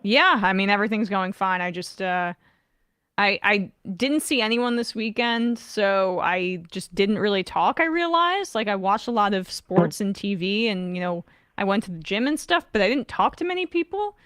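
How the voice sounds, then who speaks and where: A woman hosting a casual sports podcast, her voice a little raspy from not talking all weekend, opinionated and conversational. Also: medium pitch, lively intonation, moderate pace, a bit bright, no echo, studio quality. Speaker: podcast host.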